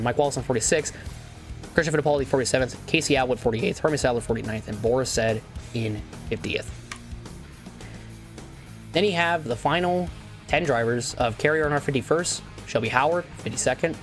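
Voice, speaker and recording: A hoarse young man losing his voice, rambling earnestly with stumbles and restarts as he wraps up a sim racing commentary. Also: medium pitch. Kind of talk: casual outro after play-by-play commentary.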